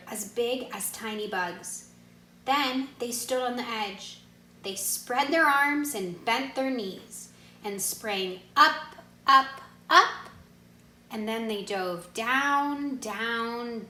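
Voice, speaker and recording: Bright, unhurried woman reading a children's storybook. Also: a little high, slight background noise. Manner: expressive, instructive, pauses to question young listeners. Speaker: read-aloud narrator, teacher asking listeners questions. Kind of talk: children's storybook read-aloud with questions to listeners. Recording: echoey room.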